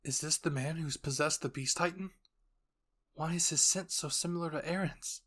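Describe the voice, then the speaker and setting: A man voicing an anxious, bewildered young protagonist's shocked thoughts in a halting, distressed way for a roleplay story. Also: fairly high pitch, fairly bright tone.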